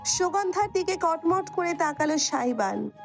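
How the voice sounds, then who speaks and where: A woman's voice: very high voice, lively intonation, unhurried, gravelly, faint music behind. A woman reading scripted dramatic narration as the storyteller of an audio story.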